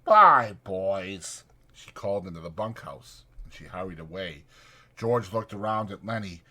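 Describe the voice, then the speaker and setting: A man's voice: deep voice, moderate pace. A man narrating fiction as a scripted, dramatic audiobook reading.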